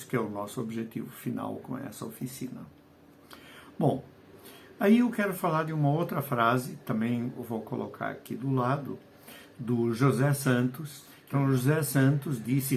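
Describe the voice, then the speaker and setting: Older Portuguese-accented man, gravelly teaching voice. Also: mid-range voice, darkish tone, slight background noise. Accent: Portuguese, softened by decades in Brazil. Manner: conversational, frequent tag questions, slows to stress key phrases. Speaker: writer and educator. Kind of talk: video lesson on short fiction.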